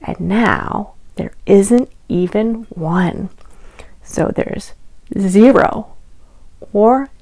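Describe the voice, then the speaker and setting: A woman leading a children's storytime, reading aloud in an expressive sing-song way and pausing after questions. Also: mid-range voice, no echo. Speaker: library storytime reader. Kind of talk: interactive children's read-aloud.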